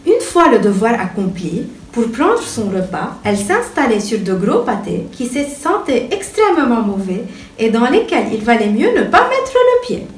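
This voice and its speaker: A woman reading a children's bedtime story aloud in an expressive, theatrical storytelling manner. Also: a little high, moderate pace. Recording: echoey room, distant mic, music behind.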